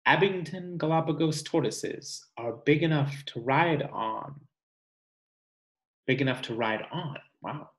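Male, 30s, unhurried picture-book reader, deliberate and pausing, recorded at home on a device mic. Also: on the high side, fairly bright tone. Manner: deliberate, pausing, musing asides. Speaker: read-aloud reader. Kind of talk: reading a children's nonfiction picture book aloud, with asides. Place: small indoor room at home.

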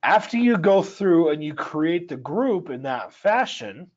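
A man with a dry, scratchy voice, explaining a software tool step by step in a casual, filler-filled way. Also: on the high side, very animated, measured pace, dull audio. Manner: explanatory, conversational, with frequent fillers. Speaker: software trainer. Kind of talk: screen-share software tutorial. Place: home or office at altitude.

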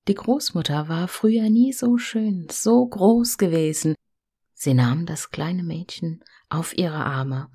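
A woman storyteller reading a fairy tale in an expressive, gentle and hushed manner. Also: lowish voice, husky.

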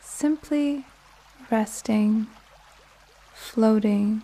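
Very slow, mellow woman guiding meditation. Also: on the high side. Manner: soothing, slow pauses between short phrases. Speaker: meditation guide. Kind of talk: guided sleep meditation narration.

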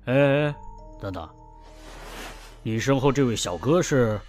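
A man voicing a worried middle-aged uncle in an audio drama who speaks in a grave, measured way. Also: mid-range voice, animated, darkish tone, lightly acted. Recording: music bed behind.

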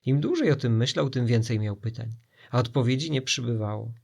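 A man narrating an adventure story for children expressively, with suspense and dramatic pauses. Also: mid-range voice, gravelly, a little mellow, breathy edge.

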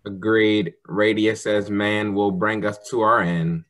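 Rebel robot follower, male actor: low, formal, declarative radio-drama lines. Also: level tone, slow pace, a bit bright. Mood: cold. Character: obedient robot plotting against mankind. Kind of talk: old-time radio drama acting.

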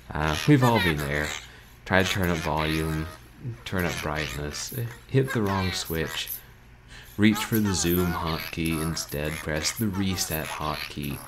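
A man hosts a casual livestream in a very low voice that swings around in lively fashion. He is wry and self-deprecating and likes wordy turns of phrase. The recording is a home stream with clear background noise. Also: slow. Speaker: livestream host, video game streamer. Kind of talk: casual livestream chat to viewers. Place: small bedroom, indoors. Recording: noisy home webcam stream.

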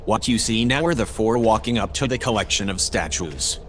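An actor playing a polite, precise AI observer in an audio drama, speaking in a formal, measured way. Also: male voice, lowish voice, expressive, a little hiss or hum, lightly acted. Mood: calm, formal. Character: polite, precise male AI observer aboard a spaceship.

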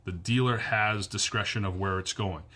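A fairly low-voiced man in his thirties speaks straight into a phone camera held close. He talks earnestly and repeats key points for emphasis, with plenty of fillers along the way. The sound is close and informal, recorded indoors in a wood-paneled room. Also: fairly even intonation, moderate pace, bright, forceful tone. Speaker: powersports dealer staff. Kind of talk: direct-to-camera business update. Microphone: phone camera at arm's length.

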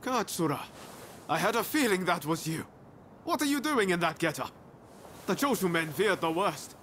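An actor playing a determined, idealistic young samurai in a video game cutscene, speaking in an earnest, conversational and persuasive way. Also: male voice, high-pitched, very animated, moderate pace, a bit bright, a little hiss or hum. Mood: surprised.

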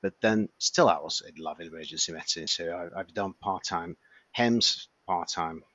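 Hesitant Hungarian-accented man, low voice. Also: moderate pace, a bit bright, no room sound, highs cut off. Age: 50s. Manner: hesitant, many restarts and fillers. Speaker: emergency physician guest. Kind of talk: casual interview chat.

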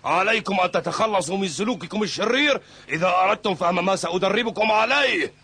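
A man's voice: high-pitched, fast, pushed and bright, husky, heavily acted. An actor voicing an eccentric old male kung fu master who gives cryptic orders in a cartoon dub. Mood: instructing. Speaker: kung fu coach.

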